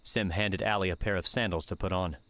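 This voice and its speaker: A man narrating an audiobook in a measured, steady reading manner. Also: low voice, no room sound. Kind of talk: scripted narration.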